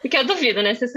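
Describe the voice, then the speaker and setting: A woman's voice: high voice, sharp tone. A young woman from Brazil moderating a conversation on a video call, thinking aloud with many fillers and restarts. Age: young adult.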